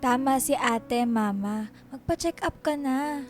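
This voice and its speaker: A woman's voice: sits high, a little slow, faint music behind. A woman acting a defiant, hard-headed schoolgirl younger sister in a Filipino radio drama, curt and sassy. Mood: casual.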